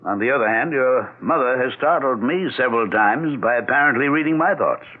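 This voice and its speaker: An actor playing a learned, frank doctor in a 1970s radio drama, explaining things in a deliberate, didactic way. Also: male voice, medium pitch, lively intonation, natural voice. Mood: thoughtful. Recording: tinny, phone-like vintage radio broadcast.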